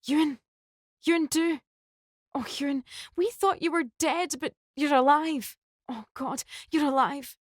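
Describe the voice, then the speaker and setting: A woman voicing a distressed young woman torn between two men, high and pleading. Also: measured pace, no room sound, studio quality, close to an everyday voice. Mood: distraught.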